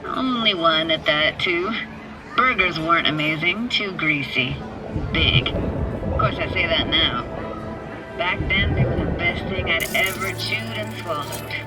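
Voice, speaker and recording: A woman's voice: on the low side, unhurried, natural voice, rough recording. A woman playing a wistful, streetwise young tech-savvy diver in a video game, talking in clipped, intimate, slangy sentences. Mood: nostalgic. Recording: loud game music behind.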